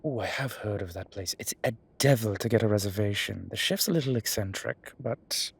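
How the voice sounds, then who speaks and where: A man playing a fond, teasing vampire deacon in an ASMR roleplay, with a breathy voice and theatrical, sardonic phrasing. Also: fairly low pitch, animated, fairly quick, full character voice. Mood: flirtatious, playful. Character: male vampire deacon, fond, teasing, exasperated, protective, dryly witty. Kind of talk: ASMR roleplay audio drama addressed to the listener.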